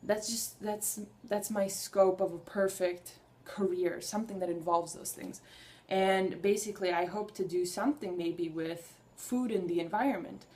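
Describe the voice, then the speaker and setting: A woman's voice: fairly low pitch, normal speed, a little mellow. A young woman talking to camera in a casual personal vlog, earnest and enthusiastic, rambling a little and restarting her sentences. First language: Hungarian. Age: young adult. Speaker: vlogger.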